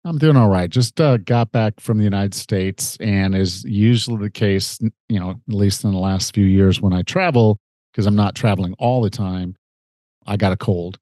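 Middle-aged male podcast host, low pitch, nasal from a cold, dry close sound. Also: moderate pace, dark tone, dry recording. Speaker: podcast host and fitness coach. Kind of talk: conversational podcast hosting.

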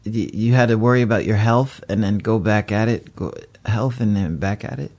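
A man's voice: fairly low pitch, moderate pace, a little mellow. A man hosting an interview in a casual way. He often stops and restarts his sentences and laughs easily. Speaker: interview host.

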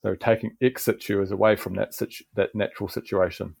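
A middle-aged man with a New Zealand accent, a garden manager, explaining in a relaxed podcast interview with frequent restarts. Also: lowish voice, quick pace, vocal fry, slightly rough, no room sound.

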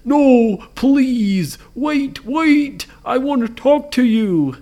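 A woman voicing a big, friendly but firm monster in a children's story, with emphatic, drawn-out words. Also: deep voice, sing-song, a little mellow, heavily acted. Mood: loud, pleading.

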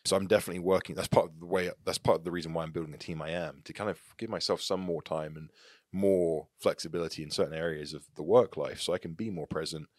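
A low-pitched English man in his thirties speaks earnestly and reflectively as a guest in a relaxed interview. His voice is close and dry, with no room sound around it. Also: fast, no room sound. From England. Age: 30s. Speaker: podcast guest. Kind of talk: casual interview talk.

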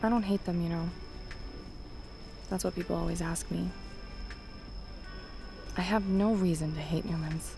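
Lowish-voiced, earnest young woman reporter. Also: fairly even intonation, a little slow, gravelly, pauses often, over music. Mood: earnest, somber. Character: earnest young female newspaper reporter, candid and open. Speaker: actor in audio drama. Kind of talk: audio drama acting.

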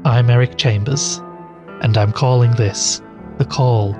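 A man playing a podcast host who investigates mysteries, presenting in a measured, explanatory way in an audio drama. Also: medium pitch, steady tone, music in the background, close to an everyday voice. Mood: measured, intrigued.

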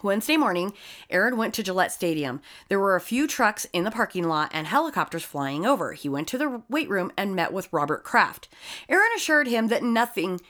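A woman's voice: mid-range voice, big pitch swings, brisk, fairly bright tone. A woman hosts a casual true-crime podcast and tells the story of the case. She breaks off the narration with sarcastic, profane asides.

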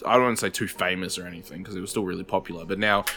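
A man's voice: low voice, fairly even intonation, very fast, pushed and bright, faint music behind. A man hosting a podcast in casual chat, congested from a head cold, laid-back and joking with casual swearing. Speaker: podcast host.

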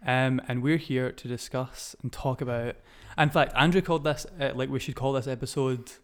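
A man hosting a podcast in a casual, informal chat, often halting and rephrasing himself as he goes. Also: medium pitch, steady tone. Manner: informal, halting, self-correcting. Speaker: podcast host.

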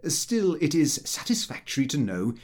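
A man reading a comic first-person diary as an audiobook, in a prim, expressive, self-important manner. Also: on the high side, moderate pace. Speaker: audiobook narrator.

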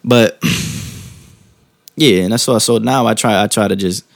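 A man with a low, mellow, soft-spoken voice talks casually and calmly, thinking aloud, rephrasing as he goes and checking in with the listener in informal slang. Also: creaky, no echo, studio quality. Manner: calm, informal slang, halting rephrasing with frequent tag questions. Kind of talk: casual reflective monologue.

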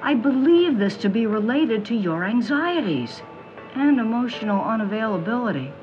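Mystic fortune teller: female, knowing, teasing, very animated, audio drama, music bed. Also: fairly high pitch, lo-fi sound. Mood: ominous, wry. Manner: theatrical, honeyed pet names, dramatic pauses. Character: mysterious middle-aged woman fortune teller, theatrical, knowing, teasing.

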